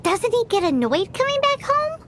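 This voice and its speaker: Squeaky, sky-high little sprite girl. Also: sing-song, moderate pace, a bit bright, slight background noise, full character voice. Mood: curious, musing. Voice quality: squeaky, childlike. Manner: cheeky, exclaiming, bossy. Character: tiny excitable sassy fairy-like companion sprite, girl. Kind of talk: animated video game voice acting. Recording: music behind the voice.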